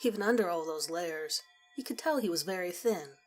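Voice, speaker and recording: A woman narrating a scripted first-person story in a conversational, measured storytelling manner. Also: on the low side, expressive. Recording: music behind the voice. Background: background music.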